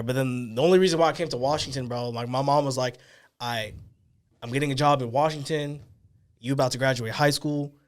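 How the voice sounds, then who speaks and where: A young man from Hawaii and the Bay Area, a podcast guest, telling stories in relaxed, slangy casual chat. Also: mid-range voice, clean tone. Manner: laid-back slangy storytelling.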